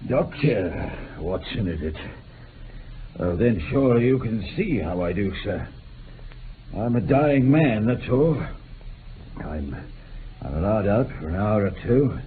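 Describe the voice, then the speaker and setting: A man playing a frail, weary, dying old gentleman in a radio drama, speaking earnestly in a halting, effortful way. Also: on the low side, big pitch swings. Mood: weary, feeble. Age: older. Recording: tinny band-limited vintage radio, faint noise.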